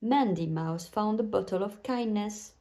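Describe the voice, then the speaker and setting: A woman's voice: mid-range voice, a little slow, mellow. A woman narrating a children's bedtime story aloud, telling it expressively for young listeners.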